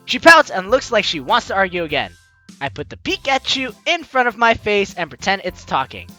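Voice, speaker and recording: Bright, animated, excitable gamer. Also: medium pitch, normal speed, faint music behind. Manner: excitable, playful, stammering self-interruptions. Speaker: gaming video host. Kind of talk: let's play commentary, reading game text aloud.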